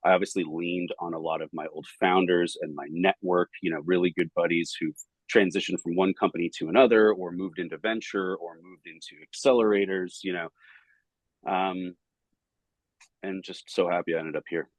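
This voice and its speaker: A man in his forties with a Southern Californian accent, answering interview questions in an energetic, rambling way full of fillers. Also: sits low, normal speed, no room sound, rough recording. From United States. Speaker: podcast guest, startup banking director.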